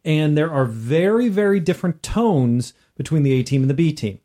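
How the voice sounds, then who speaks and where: A man's voice: a little high, lively intonation, normal speed, mellow. A man co-hosting a conversational podcast, talking emphatically and repeating phrases for stress. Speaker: podcast co-host. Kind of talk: conversational discussion.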